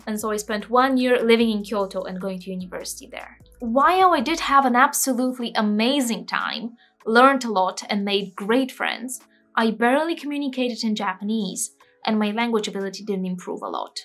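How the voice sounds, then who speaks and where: A woman's voice: a little high, a little fast, fairly bright tone, faint music behind. Candid, self-mocking woman vlogger, Bulgarian native. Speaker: language-learning vlogger. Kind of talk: scripted vlog narration.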